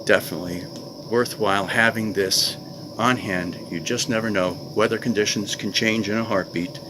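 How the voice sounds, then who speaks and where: Low-voiced older man chatting outdoors. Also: fairly even intonation, normal speed. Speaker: vlogger. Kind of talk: casual product review to camera. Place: outdoors in a wooded clearing. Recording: noisy outdoor recording with insect ambience.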